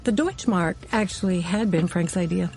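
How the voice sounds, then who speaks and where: An actress playing a woman intelligence veteran, narrating her memoirs in a measured storytelling manner for a radio drama. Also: lowish voice, darkish tone, slight background noise, natural voice. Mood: measured, wry. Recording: studio voice over prominent music.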